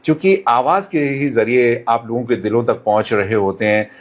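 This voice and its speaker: Male radio presenter as interview guest: mid-pitched, measured advice, polite formal delivery, some English mixed in. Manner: deliberate, courteous, formal phrasing with English words mixed in.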